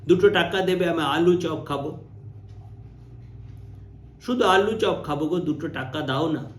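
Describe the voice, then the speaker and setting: A man's voice: on the high side, unhurried, close to an everyday voice. A man voicing a small ragged beggar boy in a story, whining and insistent, repeating the plea. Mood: pleading. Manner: whining, pleading, repetitive. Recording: roomy, echoing sound.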